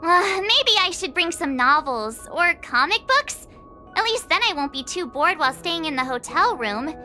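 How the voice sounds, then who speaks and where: Very high, animated young girl. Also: normal speed, soft background music, exaggerated voice. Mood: fretting. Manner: chatty, fussing aloud to herself. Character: cheerful, easily flustered young girl packing for a trip. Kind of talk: video game character acting.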